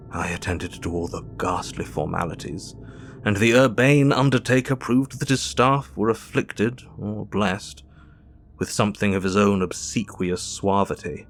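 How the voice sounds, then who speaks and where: Grieving english doctor in an audio drama, formal n measured, lowish voice, faint music behind. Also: male voice. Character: grieving, earnest Victorian male doctor recounting his diary. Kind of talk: dramatic first-person audio drama narration.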